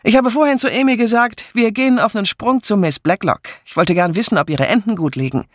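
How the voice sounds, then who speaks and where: A man voicing a brisk, blunt spinster who speaks loudly and reproachfully in an audiobook. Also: sits high, dry recording, clean studio sound, voice pushed up. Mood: brusque. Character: brisk, hearty mannish spinster woman.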